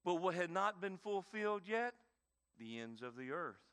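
A man, preaching a sermon with dynamic emphasis and quiet, intense stretches. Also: sits high, big pitch swings, moderate pace, smooth. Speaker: pastor.

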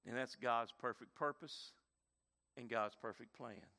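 A man, preaching a sermon with dynamic emphasis and quiet, intense stretches. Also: medium pitch, measured pace, vocal fry. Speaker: pastor.

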